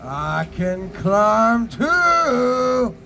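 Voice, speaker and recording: A man playing a huge, boastful, dim-witted grizzly bear who roars slowly in a storytelling puppet show. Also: big pitch swings, heavily acted. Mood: dogged. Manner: slow, roaring, boastful. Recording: noisy outdoor live recording, traffic rumble.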